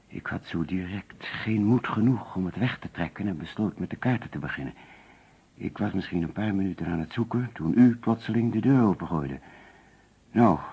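A man's voice: low voice, gravelly, darkish tone, slightly rough, full character voice. An actor playing an anxious, evasive male insurance agent under suspicion in an old Dutch radio detective play, explaining himself hesitantly. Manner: hesitant, explanatory, protesting when pressed. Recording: dull lo-fi old radio recording.

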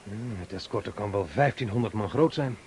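An actor playing a cool, loyal Russian partisan officer reporting in clipped military style in a radio drama. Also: male voice, lowish voice, moderate pace, a little mellow, a little hiss or hum, lightly acted. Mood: agreeing, conspiratorial.

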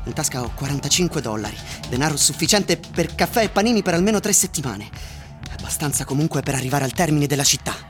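A male actor as a curious, resolute young traveller in a radio play. His pitch moves in lively swings as he speaks or notes his journey, with faint music behind him. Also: fast talker, lightly acted. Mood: methodical, musing. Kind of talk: radio drama acting with diary entries.

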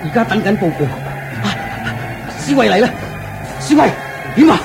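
A man playing an excitable, fussing chancellor of a royal court in a comic radio drama scene. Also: sits high, normal speed, gravelly, full character voice. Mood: urgent. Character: flustered male chancellor of a court. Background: music.

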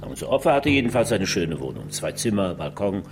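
A man's voice: sits low, very animated, fairly quick, music in the background. An actor playing a wry male homicide detective in a naturalistic radio crime drama, speaking in a dry, casual way. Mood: matter-of-fact.

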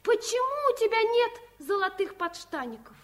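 An actress playing a small, naive, insistently curious daughter in a radio play. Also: female voice, extremely high pitch, very animated, moderate pace.

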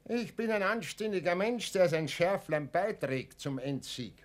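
Huffy, high-voiced colleague. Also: male voice, animated, a little slow. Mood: defensive, huffy. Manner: curt, huffy. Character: defensive, suspicious, self-righteous postal colleague. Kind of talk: German radio play acting.

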